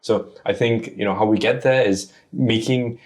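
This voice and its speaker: A man's voice: lowish voice, fairly even intonation, moderate pace. A man from Singapore, a guest on a podcast interview, explaining his ideas persuasively in long run-on lists. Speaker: guest, tech founder.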